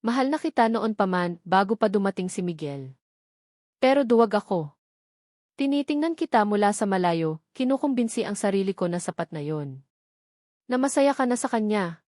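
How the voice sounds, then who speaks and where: A woman's voice: medium pitch, lively intonation, normal speed, darkish tone, no echo, clean studio sound, close to an everyday voice. Woman voicing an earnest, remorseful man in love. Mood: regretful, tender. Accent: Filipino. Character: remorseful, tender adult man confessing his love.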